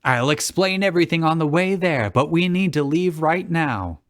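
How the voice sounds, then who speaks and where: A man's voice: a little high, animated, moderate pace, pitched above the performer's own voice, natural voice. A man voicing an earnest, determined young ice queen with urgent, regal authority in a comic fan fiction reading. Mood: excited, urgent.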